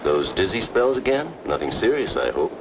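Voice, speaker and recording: An actor playing a friendly, solicitous doctor in an old-time radio drama. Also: male voice, sing-song, moderate pace. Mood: genial. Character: friendly, concerned male small-town doctor. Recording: noisy old radio recording, vehicle rumble.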